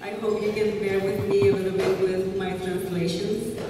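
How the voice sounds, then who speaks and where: A woman physician in her forties from Puerto Rico, a native Spanish speaker with a Puerto Rican accent, giving a conference lecture in quick, informal English with non-native phrasing. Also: mid-range voice, level tone, music in the background. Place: large conference hall. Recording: echoey hall from far off.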